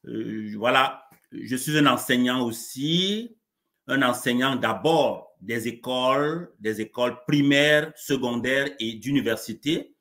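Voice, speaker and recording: Man, late forties, mid-range voice, measured with restarts, video call. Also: mid-range voice. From Cameroon. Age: 40s. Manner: warm, measured, with frequent restarts. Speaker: coach and guest. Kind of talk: live online interview.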